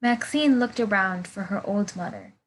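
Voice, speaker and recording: A woman's voice: medium pitch, very animated, normal speed, rough recording. A woman volunteer reading a children's picture book aloud over a video call. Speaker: volunteer storybook reader. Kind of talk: children's storybook read-aloud.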